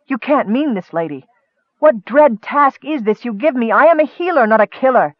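A man's voice: high voice, very animated, normal speed, no room sound, dull audio. A man voicing an earnest, anguished young male cleric who protests emphatically in a fantasy audiobook. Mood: horrified protest.

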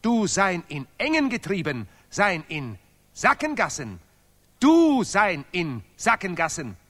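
An actor playing a vain, touchy Nobel laureate artist in a stylized radio play, declaiming emphatically in deliberately broken, repetitive German. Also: male voice, high-pitched, huge pitch swings, fairly bright tone, heavily acted. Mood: accusing. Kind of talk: stylized avant-garde radio play acting.

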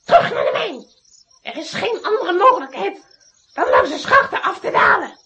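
A woman playing a vengeful, scheming witch in a children's radio play, commanding and gloating in a shrill, creaky voice. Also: sing-song, normal speed, pushed and bright, full character voice. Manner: theatrical, gloating, declamatory. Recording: roomy reverberant sound.

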